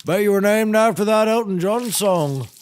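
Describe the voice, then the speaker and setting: A man's voice: high voice, moderate pace, natural voice. A man playing a pompous, folksy, bumbling defence lawyer with theatrical, rambling courtroom patter in a scripted comedy.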